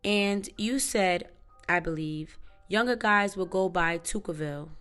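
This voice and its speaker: A woman's voice: fairly low pitch, unhurried, a bit bright, clean tone, faint music behind. A woman questioning a witness in court as a prosecutor, in a formal and methodical way.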